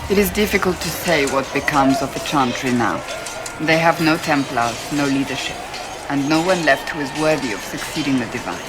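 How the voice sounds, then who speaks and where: A woman's voice: rumbling low, moderate pace, over loud music, poor audio. An actress playing a stern, devout rebel warrior woman in a video game, speaking formally and earnestly. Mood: grave. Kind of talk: dramatic video game dialogue.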